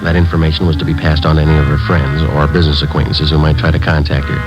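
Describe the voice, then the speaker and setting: An old muffled radio recording with music carries a low-voiced American police sergeant. He is terse and deadpan, moving quickly through the facts in clipped radio drama style. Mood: matter-of-fact. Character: terse, matter-of-fact male police sergeant narrating his cases. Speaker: narrator and lead police detective. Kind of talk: 1950s radio drama acting, clipped narration.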